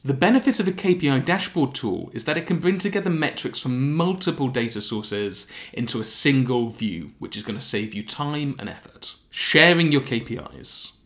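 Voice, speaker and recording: A man in his thirties giving a scripted explainer, step by step, with deliberate emphasis. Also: a little high, a bit bright. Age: 30s. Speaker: presenter of a software tutorial. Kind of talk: scripted explainer narration to camera.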